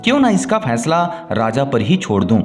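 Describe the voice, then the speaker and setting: A man's voice: medium pitch, big pitch swings, normal speed, natural voice. Suspicious old merchant father-in-law, voiced by a man. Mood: suspicious, indignant. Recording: music bed under the voice.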